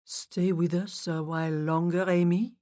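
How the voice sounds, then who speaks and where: A woman voicing a sympathetic female spirit medium who speaks low, soft and soothingly in an audiobook. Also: steady tone, a little slow, no echo, natural voice.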